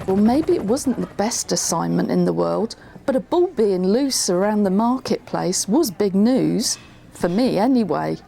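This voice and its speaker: A woman's voice: mid-range voice, creaky, warm tone, heavily acted. A woman playing a former trainee reporter, narrating her memories in a scripted radio drama. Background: music.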